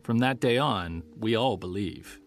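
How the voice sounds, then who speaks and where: An actor playing a haunted grown man recalling a childhood monster encounter in a halting, intense audio drama monologue. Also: lowish voice, lively intonation. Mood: solemn. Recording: music under the voice.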